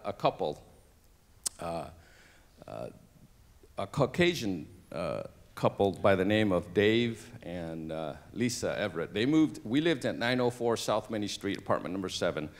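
A middle-aged man from Cambodia, a native Cambodian speaker, telling his life story on a church stage into a handheld mic, halting with many fillers and restarts. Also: deep voice. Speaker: interview guest. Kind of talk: personal testimony on stage.

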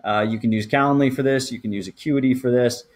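A man's voice: mid-range voice, steady tone, fast talker, a little mellow. A man in his thirties hosting a show, talking through things step by step in a conversational, explanatory way. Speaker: podcast host. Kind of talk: conversational walkthrough for a marketing show.

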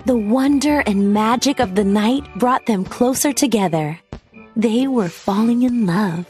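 A woman reading a children's storybook aloud as narrator, with expressive scripted storytelling delivery. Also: fairly high pitch, measured pace. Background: background music.

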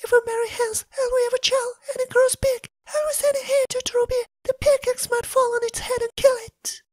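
A reader voicing a silly, anxious young woman from a fairy tale in a very high, breathy, tearful whisper. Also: a little slow, no echo. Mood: tearful fretting. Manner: wailing, tearful. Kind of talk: storybook reading.

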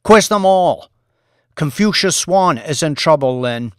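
A man's voice: a little high, lively intonation, measured pace, clear, resonant tone, no room sound, clean studio sound, voice pushed up. A man voicing a commanding male news boss in an audiobook, rallying his team with emphatic, clipped orders. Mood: urgent, pressing.

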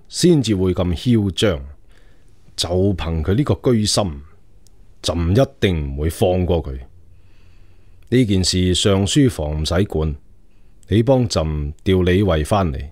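A stern Chinese emperor in a radio drama. His voice is very low and dryly recorded, and he speaks with measured, commanding authority. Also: a little mellow, no echo. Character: Qing emperor, suspicious, stern, commanding ruler. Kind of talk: Cantonese radio drama acting.

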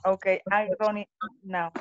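A Spanish-speaking woman learning English, answering haltingly in an online class. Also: medium pitch, flat delivery, lo-fi sound, background noise. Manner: hesitant, halting beginner English. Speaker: student.

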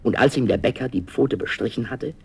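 A man narrating a fairy tale as a storyteller, expressive and theatrical, in a dramatic scripted style. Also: medium pitch, fairly quick, creaky, breathy edge.

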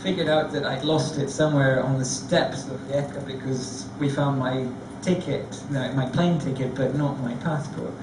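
A man on a discussion panel, telling a lively, self-deprecating story. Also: medium pitch, moderate pace, few pauses, far from the mic, noisy background. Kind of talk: anecdotal storytelling. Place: large theatre stage before an audience. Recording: echoey hall recorded from afar.

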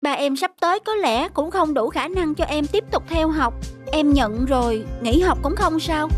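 Woman as poor young boy, cartoon, humble, self-sacrificing, earnest, slow hesitant delivery, background music. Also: sits high, expressive. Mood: sad, resigned. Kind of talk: Vietnamese cartoon dubbing.

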